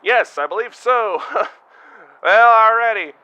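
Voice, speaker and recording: A man playing a bright, eager field reporter. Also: sits high, slow pace, smooth, exaggerated voice. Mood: upbeat. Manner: chatty, upbeat interviewer. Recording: dull lo-fi recording.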